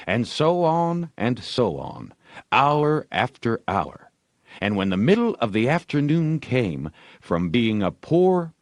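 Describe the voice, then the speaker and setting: Unhurried, expressive male audiobook narrator. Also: on the low side, no room sound. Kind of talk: scripted storybook narration.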